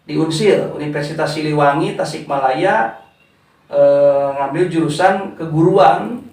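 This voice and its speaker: A man in his thirties from West Java, giving unhurried interview answers full of small fillers, and he calls the interviewer "Pak". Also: a little high, a little mellow, far from the mic. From West Java, Indonesia. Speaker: founder of a community organization. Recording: echoey room, voice from off-mic.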